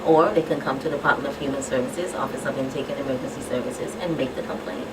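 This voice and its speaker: Low-voiced woman explaining steps, noisy background. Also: fairly even intonation, fast talker. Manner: plain, step-by-step, instructional. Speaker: guest expert from social services. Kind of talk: explaining procedure on radio. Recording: distant mic with clear background hum.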